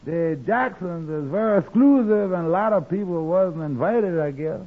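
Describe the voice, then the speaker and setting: An actor playing a meek, slow-witted married sidekick with a hesitant, folksy drawl in 1940s radio comedy. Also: male voice, sits high. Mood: apologetic. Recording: muffled old radio broadcast recording.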